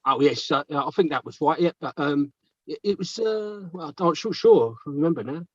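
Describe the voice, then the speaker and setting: A man's voice: a little high, animated, moderate pace, no room sound, muffled recording. A man acting a flustered middle-aged dredger lookout in a courtroom play, stammering, repetitive and muddled. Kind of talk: table read of a courtroom play.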